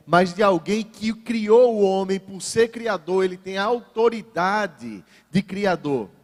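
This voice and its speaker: A man with a high-set voice and a Northeastern Brazilian accent preaches in a large church. His phrasing is deliberate and emphatic, built on repeated phrases. The hall adds a long reverb to his words. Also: darkish tone. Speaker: pastor preaching at a church service. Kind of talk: sermon.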